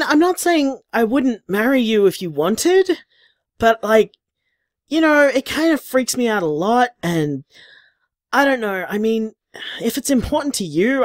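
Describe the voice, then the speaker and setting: Dramatic, anxious man with animated intonation. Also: sits high, no echo, clean studio sound. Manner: exasperated, hesitant, sardonic. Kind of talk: audio drama voicemail acting, naturalistic and conversational.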